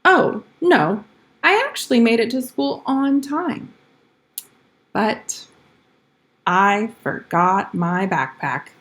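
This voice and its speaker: Storybook schoolboy, read by a woman, earnest teller of tall tales, slow-paced delivery. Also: a little high, very animated, a bit bright, heavily acted. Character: schoolboy spinning tall-tale excuses for lateness.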